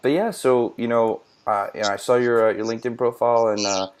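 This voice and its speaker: A man's voice: medium pitch, steady tone, brisk. A man hosting an interview, speaking hesitantly with many fillers and restarts. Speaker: interview host. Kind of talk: casual interview.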